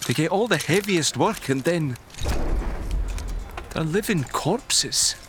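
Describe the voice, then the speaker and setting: An irritable wartime labourer with a conscience in an audio drama. His exasperated, halting speech swings widely in pitch. There is clear outdoor and vehicle noise around him. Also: animated. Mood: distressed, indignant. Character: troubled, irritable male railway worker with a conscience. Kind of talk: naturalistic radio drama acting.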